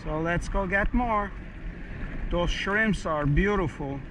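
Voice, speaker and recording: Man, kayak fishing vlogger, slow unhurried delivery, slightly high voice, action cam on the water, light wind noise. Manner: excited, talks to viewers in short bursts. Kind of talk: casual running commentary while fishing. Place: kayak on open water outdoors. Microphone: head-mounted action camera.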